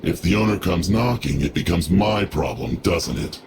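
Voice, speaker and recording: A man's voice: low voice, normal speed, heavy background noise, natural voice. A man voicing a secretive, wary debt collector in a video game, curt and businesslike. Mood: guarded.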